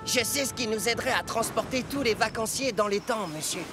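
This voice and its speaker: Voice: medium pitch, a little fast, music in the background, natural voice. An actor voicing a vain, boastful male steam engine with a cocky, showy manner in a children's cartoon dub. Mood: smug. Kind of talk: animated children's series dub.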